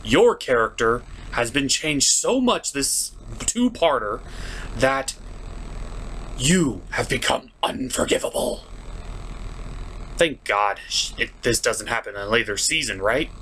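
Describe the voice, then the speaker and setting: A man hosts a review with theatrical, exasperated delivery. His pitch swings widely as he builds each complaint in opinionated commentary. Some background noise sits under the voice. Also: very animated, slow pace, bright, forceful tone, poor audio. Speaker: host of a cartoon review show.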